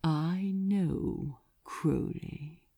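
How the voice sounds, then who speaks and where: A woman voicing an imperious male demon lord in an audiobook, grandly menacing and silkily condescending, with a grave, deliberate delivery. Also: low-pitched, vocal fry, warm tone, no echo, studio quality, heavily acted. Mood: soft, coaxing. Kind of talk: dramatic audiobook character reading.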